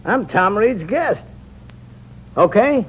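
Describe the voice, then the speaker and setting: A sly, chubby blackmailing purser. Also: male voice, sits high, expressive, slow pace, husky, full character voice. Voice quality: sly, needling delivery. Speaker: ship's purser. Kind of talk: 1950s radio drama acting. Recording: muffled old radio broadcast with loud hiss.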